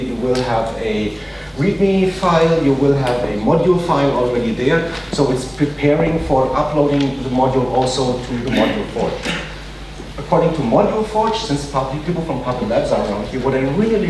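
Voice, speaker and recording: A man who is not a native English speaker gives a conference talk and takes audience questions, hesitant with many fillers and restarts. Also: mid-range voice. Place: large conference hall. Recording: echoey hall, distant mic, noisy.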